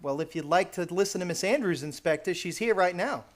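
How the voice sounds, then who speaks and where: A man's voice: high-pitched, fairly quick. A man playing an eager, deferential but persistent police sergeant in an old-time radio drama. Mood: insistent. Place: theater stage, performed live.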